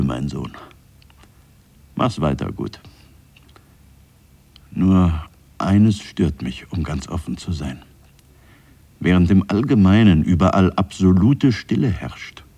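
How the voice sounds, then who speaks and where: An older man acting a dead father who speaks wryly from his grave in a radio drama, husky and brooding, with halting phrases. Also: sits low, warm tone, full character voice. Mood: wistful, bitter, then uneasy.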